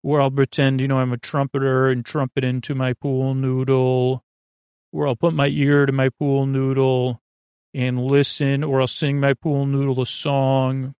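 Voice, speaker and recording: A man playing an earnest, eccentric hobbyist who talks in a halting, rambling way on a bedtime podcast. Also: mid-range voice, completely flat delivery, a little mellow, clean tone, no echo, close to an everyday voice. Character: Bob, earnest eccentric pool noodle hobbyist.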